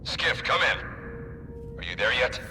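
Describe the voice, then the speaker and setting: A man's voice: mid-range voice, sing-song, unhurried, sharp tone, heavily acted. An actor playing an urgent commander calling in his agent in a video game. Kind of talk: video game cutscene acting. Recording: hall reverb, faint music.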